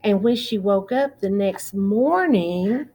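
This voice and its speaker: A woman reading a children's picture book aloud in a deliberate, expressive way, drawing out words. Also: mid-range voice. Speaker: children's storytime reader.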